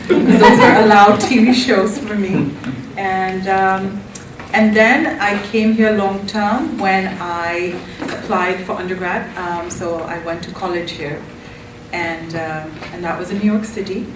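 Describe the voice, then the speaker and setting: A woman's voice: medium pitch, steady tone, slow pace. Pakistani lady guest, chatty with lots of ums, noisy room sound, mic far away. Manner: warm, laughing, many ums. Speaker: guest, marriage and family therapist. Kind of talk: conversational interview. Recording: roomy, from a distance, background noise.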